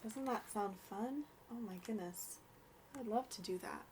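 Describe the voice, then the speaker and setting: A young adult woman reading a storybook aloud for children in an expressive, gentle way. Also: fairly high pitch, measured pace, slight background noise.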